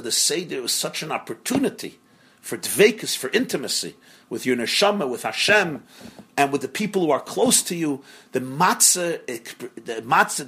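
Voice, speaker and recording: A rabbi teaching a class on a religious text, earnest and emphatic, who repeats phrases for stress and sprinkles in Hebrew and Yiddish terms. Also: male voice, fairly high pitch, bright, forceful tone, husky. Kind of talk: explaining a religious text to students.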